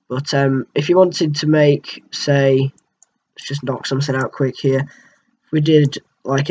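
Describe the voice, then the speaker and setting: A man's voice: mid-range voice, dull audio, a little hiss or hum. Young man with a cold, rambling. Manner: rambling, hesitant, lots of ums and uhs. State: has a cold, keeps clearing his throat. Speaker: gaming channel host. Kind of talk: casual screen-recorded software tutorial.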